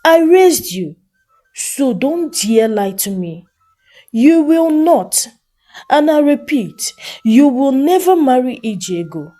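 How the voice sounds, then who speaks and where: A woman's voice: sits high, very animated, takes it slowly, warm tone, faint music behind, exaggerated voice. A woman voicing a jealous, scheming mother of a grown son in a folktale, emphatic and commanding in manner. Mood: furious, commanding.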